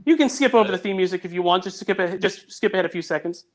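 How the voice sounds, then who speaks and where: A high-voiced man chats on a call, eagerly and insistently steering the conversation. He talks fast at times and often cuts in to keep things moving. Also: animated. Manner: eager, directive, talks over others. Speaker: audio drama producer, guest. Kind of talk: casual video-call chat.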